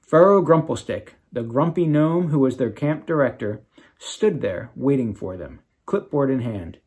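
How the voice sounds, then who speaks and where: A man reading aloud to children as an author, with expressive, dramatic emphasis and casual asides. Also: medium pitch, unhurried, husky. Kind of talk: animated storybook read-aloud with casual asides.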